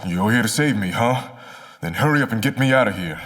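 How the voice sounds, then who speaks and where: An actor playing a wary older agency chief held hostage in a video game drama, speaking gravely and dramatically. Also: male voice, lowish voice, animated, brisk, a bit bright, far from the mic. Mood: wary, impatient. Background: music.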